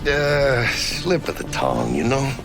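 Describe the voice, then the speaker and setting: A man's voice: medium pitch, wildly swooping pitch, unhurried, vocal fry, exaggerated voice. An actor playing a streetwise, upbeat, chatty male mercenary in a video game, casual and profane. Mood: playful, cocky. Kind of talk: naturalistic video game voice acting. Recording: music behind the voice.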